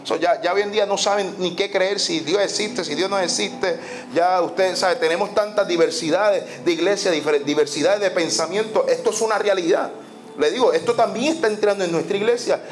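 A man's voice: high-pitched, a little fast. A man preaching a sermon, emphatic, repeating phrases and dropping in the English word "so". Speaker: pastor. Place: church sanctuary.

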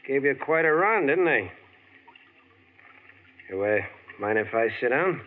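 A man's voice: medium pitch, animated, unhurried, lightly acted. An actor playing a tough-talking, wisecracking man pursuing a fugitive in a 1940s radio drama. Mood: coaxing. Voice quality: hard-boiled, wisecracking. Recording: tinny old radio broadcast, faint hiss.

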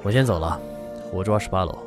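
Audio drama role: composed male boss man acting, low-pitched, terse, quietly caring, prominent music bed. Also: fast talker, lightly acted.

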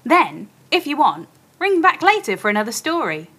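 High, animated woman guiding listeners. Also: moderate pace, heavy background noise. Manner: instructive, expressive, addresses listeners directly. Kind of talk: interactive audio play performance.

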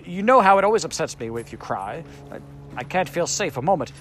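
Man reading as a snappish magic sand creature, pitched up and loud, fussy and self-important. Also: very animated, brisk, faint music behind. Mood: anxious, coaxing. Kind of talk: audiobook character voice.

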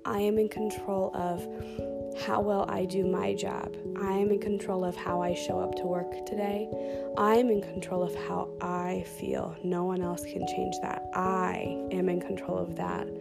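Soft-spoken woman with a scratchy throat. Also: lowish voice, vocal fry. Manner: soft-spoken, chatty with fillers. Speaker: podcast host. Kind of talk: calm guided self-talk meditation. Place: dark room at home under blankets.

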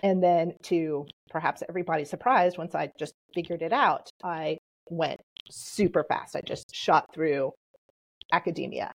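A woman whose first language is Polish, answering interview questions in a measured, explanatory way. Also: sits low, rough recording. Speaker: podcast guest.

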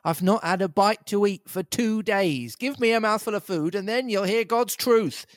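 A hard-up tramp whines and wheedles in a pitch well above ordinary speech, pleading one moment and grumbling the next, as a man puts him on for a read-aloud story. The sound is dry and close, with no room around it. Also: moderate pace, no room sound, lightly acted. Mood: whining. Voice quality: beggar's whine. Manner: wheedling, then blustering. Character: down-and-out male tramp, whining beggar.

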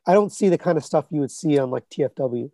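A man in his thirties or forties with a scratchy voice that sits a little high. He talks in a loose, rambling way, full of fillers and restarts, like a casual podcast guest. The recording is dry and close. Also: lively intonation, fast talker, mellow, no room sound. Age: 30s to 40s. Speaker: guest, collectibles reviewer.

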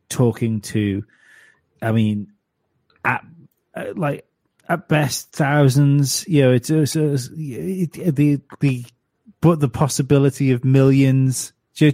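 A man's voice: medium pitch, takes it slowly, mellow, dry recording. A man in his late thirties, a podcast guest chatting casually, stumbling over restarts and repeated words. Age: 30s. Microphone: home studio mic.

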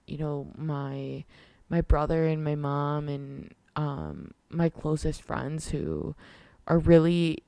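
Hoarse low-voiced woman, casual podcast. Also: warm tone, no room sound. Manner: candid, rambling, with many fillers and restarts. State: hoarse from a lost voice. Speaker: podcast host. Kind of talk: casual solo podcast talk.

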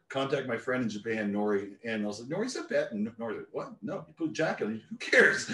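A man's voice: mid-range voice, distant mic. A man from Newfoundland hosting a casual podcast, loose and joking, laughing often. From Newfoundland, Canada. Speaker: podcast host. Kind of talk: casual chat. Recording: roomy sound, a bit off mic.

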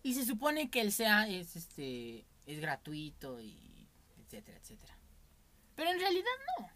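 A man's voice: sits high, huge pitch swings, a little slow, sharp tone. A young Mexican man with a Mexican accent, telling candid stories in a casual, rambling podcast chat as its host.

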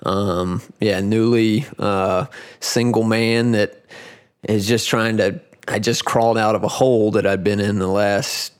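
A man in his forties chatting casually as a podcast guest, talking in halting, rambling stretches full of restarts. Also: on the low side, fairly even intonation, smooth. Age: 40s. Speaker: podcast guest, grappling competitor. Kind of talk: casual chat.

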